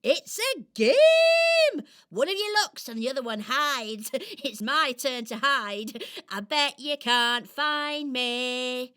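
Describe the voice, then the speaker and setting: High-pitched, excitable playful squirrel. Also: female voice, takes it slowly, full character voice. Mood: excited. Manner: giggly, exuberant, theatrical. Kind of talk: children's radio play acting.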